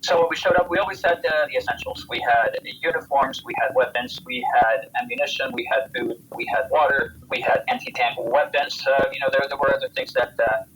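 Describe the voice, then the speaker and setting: An American man gives a spontaneous interview account as a military veteran. His mid-pitched voice has a bright, firm edge, and he often restarts phrases and repeats words. A faint noise sits behind the recording. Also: brisk, hardly any pauses, rough recording. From United States.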